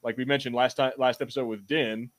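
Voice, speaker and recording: A man co-hosting a podcast in casual chat, talking like an excitable fan and often repeating and rephrasing himself. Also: mid-range voice, quick pace. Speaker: podcast co-host.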